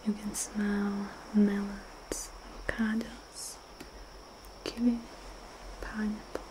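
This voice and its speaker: A young woman whispering a slow, dreamy ASMR guided visualization close to the mic, with soft murmured hums between phrases. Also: medium pitch, little pitch movement, background noise. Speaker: ASMR host.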